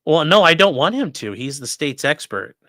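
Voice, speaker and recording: A man's voice: a little high, sing-song, normal speed, bright, forceful tone, dry recording. A man hosting a livestream, joking through sponsor reads and giving blunt, sarcastic opinions. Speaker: livestream host, defense attorney. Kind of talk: casual livestream commentary.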